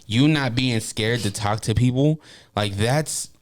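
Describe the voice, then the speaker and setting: A laid-back man hosts a podcast, encouraging and joking easily with his guest. His voice sits at an ordinary middle pitch. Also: a little slow. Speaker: podcast host. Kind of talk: casual interview chat.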